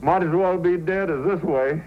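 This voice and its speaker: Man acting a high-pitched, whining, agonized robber. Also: normal speed, natural voice. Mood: desperate pleading. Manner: pained, desperate, pleading. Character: whining male robber tormented by a toothache. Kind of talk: old-time radio acting. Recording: noisy, dull old radio recording.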